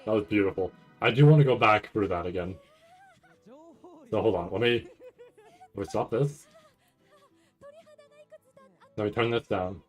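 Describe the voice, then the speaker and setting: A man's voice: lowish voice, moderate pace, pauses often, soft background music. A man streaming casual live reaction commentary, thinking aloud in a rambling, freely swearing way. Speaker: streamer reacting to an anime trailer.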